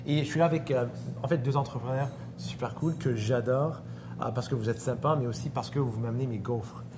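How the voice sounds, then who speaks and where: A man hosting a casual on-location interview, often halting as he searches for words. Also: mid-range voice. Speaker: interviewer and host. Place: restaurant. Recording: clear background noise and hum.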